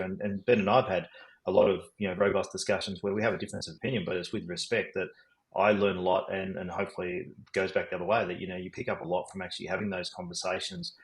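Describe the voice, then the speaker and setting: Host: middle-aged man, low and flat voice, quick chatty delivery. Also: poor audio. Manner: relaxed, chatty, says mate, many fillers. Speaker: podcast co-host. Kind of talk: casual podcast chat.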